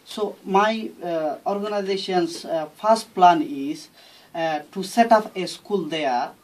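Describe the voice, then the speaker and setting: Halting man from Myanmar, slightly distant mic. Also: sits high. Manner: non-native English phrasing, earnest. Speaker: interview guest, founder of a charity. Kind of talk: halting explanatory interview talk. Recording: distant mic, faint background noise.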